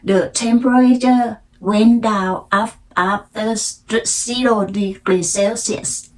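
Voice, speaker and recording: An older woman from Thailand with a Thai accent, a native Thai speaker, telling her personal story in halting, deliberate learner English. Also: medium pitch, fairly even intonation, rough recording. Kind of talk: unscripted personal storytelling practice to camera.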